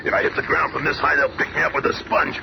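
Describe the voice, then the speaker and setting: An actor playing a gruff, hot-tempered, brawny superhero in a 1970s radio drama, blustering and wisecracking. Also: male voice, on the high side, little pitch movement, fast. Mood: straining, determined. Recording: noisy vintage radio broadcast recording.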